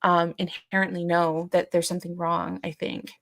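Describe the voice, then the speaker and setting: A woman co-hosting a podcast in casual discussion, thinking aloud in a hesitant, halting way. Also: fairly low pitch, completely flat delivery, fairly bright tone, no echo, studio quality. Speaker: podcast co-host.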